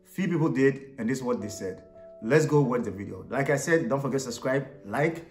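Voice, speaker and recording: A man's voice: medium pitch, expressive, a little fast, darkish tone, echoey room, faint music behind. A man hosting a street interview channel, upbeat and repeating his calls to like and subscribe. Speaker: YouTube host and street interviewer. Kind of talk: casual vlog intro and street interview questions. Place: small room indoors for intro.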